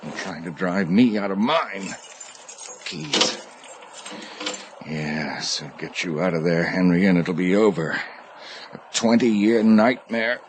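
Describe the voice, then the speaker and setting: Slow, tormented, desperate man. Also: low-pitched. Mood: frantic muttering. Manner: halting, desperate. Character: tormented guilt-ridden male judge, unravelling. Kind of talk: radio drama acting. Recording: noisy radio drama recording.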